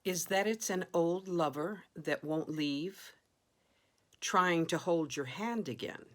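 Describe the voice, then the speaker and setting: Female poetry reader, low register, solemn and unhurried. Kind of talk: solemn spoken poetry reading.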